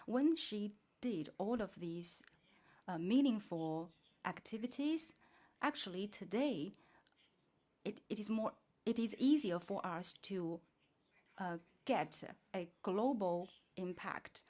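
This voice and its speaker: A woman's voice: medium pitch, expressive, takes it slowly, a little mellow. A woman moderating a conference panel who halts and searches for her words as she poses questions. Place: conference stage.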